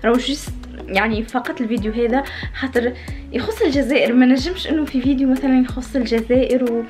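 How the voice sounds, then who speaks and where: Tunisian girl vlogging into her phone, talks super fast, kinda high voice, faint music under it. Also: darkish tone. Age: young adult. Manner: chatty, playful, talks straight to followers. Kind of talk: casual selfie vlog talk. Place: room in a friend's home, indoors. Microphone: phone held at arm's length.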